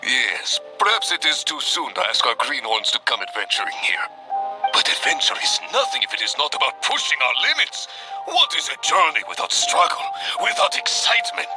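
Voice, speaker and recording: An actor playing a hearty middle-aged adventurer in a video game, grandiose, jovial and long-winded. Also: male voice, mid-range voice, big pitch swings, moderate pace, lightly acted, rough recording. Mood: rousing. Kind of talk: video game cutscene dialogue. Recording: music behind the voice.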